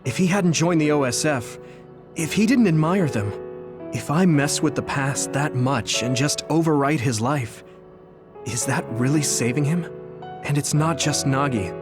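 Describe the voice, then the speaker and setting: A man's voice: fairly high pitch, a little slow, music in the background, natural voice. An actor playing an earnest, thoughtful young male soldier hero in a video game, speaking sincerely and reflectively. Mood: reflective, resolute. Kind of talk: English video game voice acting, dramatic dialogue.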